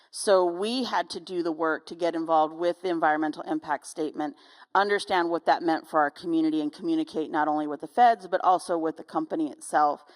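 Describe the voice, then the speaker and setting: A woman, speaking conversationally as a local community representative on a panel. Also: fairly low pitch. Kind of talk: panel discussion, conversational explaining.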